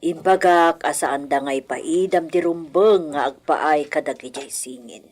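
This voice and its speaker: An actress playing a brooding, secretive elderly wealthy matriarch with a husky voice, in radio drama style. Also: female voice, low-pitched, a little slow, darkish tone. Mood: secretive, grave. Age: older.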